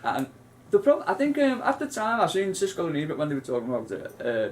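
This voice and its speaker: A man's voice: a little high, wildly swooping pitch, quick pace, a little hiss or hum. A man, co-hosting a casual film chat, rambling with many restarts and asides. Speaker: co-host.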